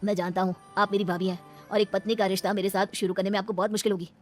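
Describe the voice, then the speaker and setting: Resolute young brother-in-law, voiced by a woman, cartoon melodrama: rapid, mid-pitched, earnest, insistent, music underneath. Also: level tone, close to an everyday voice. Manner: reassuring, insistent. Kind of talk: Hindi cartoon family melodrama. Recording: music behind the voice.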